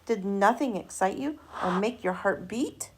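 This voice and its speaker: A woman voicing a stern, calm, doubting father in a children's storybook, measured and admonishing. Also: mid-range voice, slight background noise. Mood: calm, probing.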